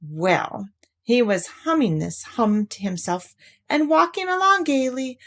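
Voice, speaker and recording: A woman reading a children's story aloud as an expressive narrator. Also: on the high side, unhurried.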